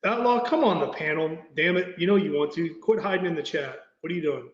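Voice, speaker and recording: A man's voice: a little high, a little fast. A man from Oklahoma chatting on a livestream panel, blunt and full of stammering restarts. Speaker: panel guest. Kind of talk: casual livestream chat. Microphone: online voice call. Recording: echoey, muffled online call audio.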